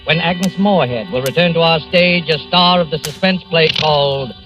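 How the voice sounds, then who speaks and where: A man's voice: a little high, moderate pace, rough recording. A man narrating a 1940s radio show in a formal, theatrical, scripted style. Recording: vintage radio broadcast recording. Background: music.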